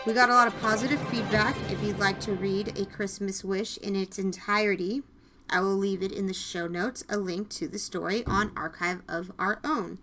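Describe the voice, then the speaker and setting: A woman hosting a podcast in casual, chatty style, with an excitable, effusive manner. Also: mid-range voice, moderate pace, faint music behind. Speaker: podcast host. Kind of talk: casual podcast chat with announcements.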